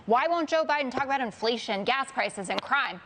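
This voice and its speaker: A woman with an American accent and a voice a little higher than average. She makes her case as a panelist in a political talk show debate. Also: animated, brisk, fairly bright tone, a little hiss or hum.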